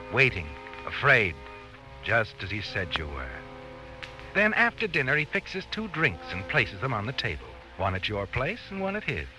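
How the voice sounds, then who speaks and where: An actor playing a knowing, ominous narrator in an old radio suspense drama, dramatic and insinuating in second person. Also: male voice, mid-range voice, low-quality recording. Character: knowing, ominous narrator addressing the guilty man as you.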